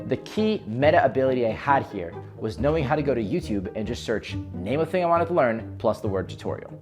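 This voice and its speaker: A young man talking as a video host, giving self-improvement advice in an emphatic, instructional explainer style. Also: mid-range voice. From Israel. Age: young adult. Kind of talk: direct-to-camera explainer.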